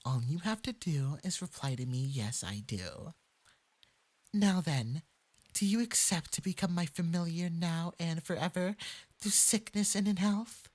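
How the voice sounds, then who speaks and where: A performer with a light, breathy voice playing a clingy, lovestruck fox-spirit femboy mage in an intimate ASMR roleplay, coaxing sweetly and possessively. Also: medium pitch, unhurried. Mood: coaxing, solemn. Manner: sweetly coaxing, possessive, swinging into eager excitement.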